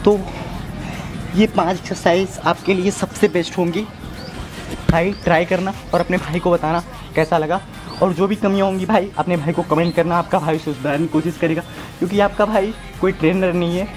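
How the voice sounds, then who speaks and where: High-voiced young man coaching over music. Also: steady tone, a little fast. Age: 20s. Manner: casual, instructive, repeats phrases. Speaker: amateur home fitness instructor. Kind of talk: workout demonstration talking to viewers. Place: outdoors in a brick-walled yard or rooftop. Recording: loud music behind voice.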